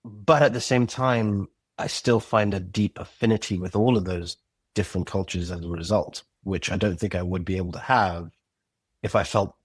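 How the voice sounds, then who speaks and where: Reflective male podcast guest, low husky voice, dry close sound. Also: no room sound. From Zimbabwe. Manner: thoughtful, searching, with frequent restarts and "you know what I mean". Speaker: podcast guest, audio drama creator. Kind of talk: reflective interview conversation.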